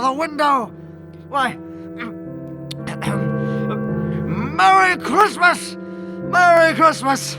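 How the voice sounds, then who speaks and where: A man's voice: very high voice, pushed and bright, full character voice. A man playing a repentant old miser in a radio play, theatrical and stammering with emotion. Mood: elated. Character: repentant old male miser, desperate then giddy.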